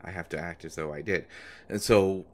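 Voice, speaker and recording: A man performing an intimate audio roleplay as a nervous, eager-to-please young man who talks in halting, flustered stammers. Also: low-pitched, darkish tone, natural voice. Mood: earnest, hesitant. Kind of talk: intimate one-sided audio roleplay drama.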